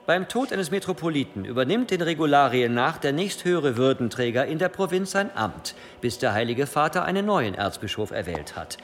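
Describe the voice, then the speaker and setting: An actor playing a scheming cathedral provost who prosecutes in a formal, insinuating manner in a historical radio drama. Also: male voice, a little high, sing-song, a little fast, hardly any pauses. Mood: indignant, insinuating. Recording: long hall reverb, faint noise.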